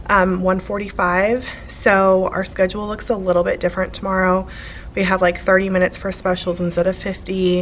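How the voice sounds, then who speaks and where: A woman's voice: mid-range voice, slight background noise, rough recording. A young woman, an elementary school teacher, chatting casually to her camera in her classroom. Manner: chatty, filler-heavy, upbeat. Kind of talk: casual vlog chat. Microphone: handheld camera.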